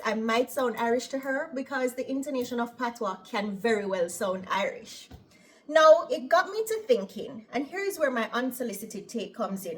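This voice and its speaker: A woman from Jamaica with a Jamaican accent, a nurse chatting casually to her viewers and often asking "right?". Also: on the high side. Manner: chatty, opinionated, tags lines with "right?". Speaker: operating room nurse. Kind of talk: casual vlog storytelling.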